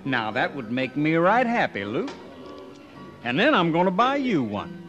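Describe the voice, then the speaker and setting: An actor playing a proud, stubborn cowboy with a folksy delivery in an old radio western. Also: male voice, on the high side, wildly swooping pitch, a little slow, muffled recording, loud music behind the voice. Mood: easy, candid. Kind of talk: 1950s radio western acting. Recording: vintage radio drama recording.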